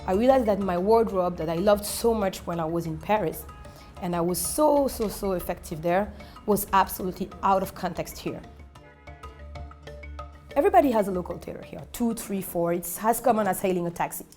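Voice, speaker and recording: A woman's voice: mid-range voice, fairly quick, warm tone, soft background music. Young woman from senegal, documentary interview, earnest, restarts a lot, clothing shop, lapel mic. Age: 20s. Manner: earnest, self-correcting, many restarts. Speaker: entrepreneur.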